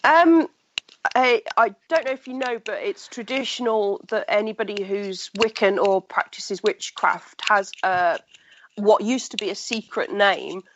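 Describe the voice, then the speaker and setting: A woman's voice: medium pitch, measured pace, highs cut off, noisy background. A woman guest with a blocked, nasal voice, chatting casually in an online radio interview.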